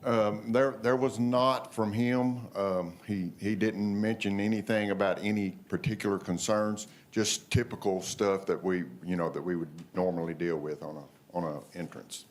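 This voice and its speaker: A man, an engineer addressing a planning commission in a halting way, with many fillers and restarts. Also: fairly low pitch, fairly even intonation. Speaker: engineer for applicant. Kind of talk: public comment at meeting.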